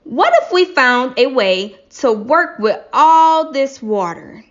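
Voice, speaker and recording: A woman reading the part of a helpful, confident male architect in a classroom play, measured and clearly enunciated. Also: high voice, lively intonation, a bit bright, full character voice. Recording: slightly dull lo-fi recording.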